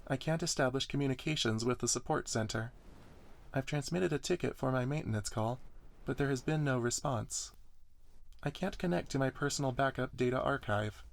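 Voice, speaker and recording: A man voicing a polite, earnest young female service robot, speaking softly in formal, wordy sentences for an ASMR story reading. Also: medium pitch, normal speed, creaky, voice pushed up, lightly acted. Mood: earnest, matter-of-fact. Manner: verbose, courteous, formal.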